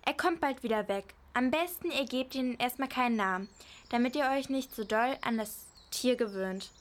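A woman's voice: on the high side, normal speed, a little hiss or hum, lightly acted. A woman playing an orderly, cautious parent in an amateur radio play. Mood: gently firm.

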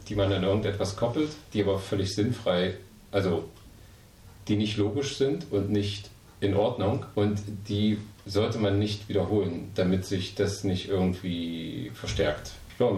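Deep gravelly man, distant and roomy. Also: a little mellow. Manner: articulate, lecturing, with hesitations. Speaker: interviewee. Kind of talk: vox-pop interview answer.

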